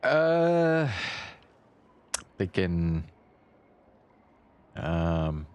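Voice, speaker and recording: Very deep, slow-talking man streaming games. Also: very animated, darkish tone, clean tone. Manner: wry, muttering asides, swearing. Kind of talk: casual game commentary, reading dialogue aloud. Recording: game music behind the voice. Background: game music.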